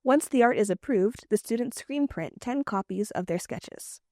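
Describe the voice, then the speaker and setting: A woman radio reporter narrating a scripted news feature in a measured, clear delivery. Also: fairly high pitch, animated, dark tone.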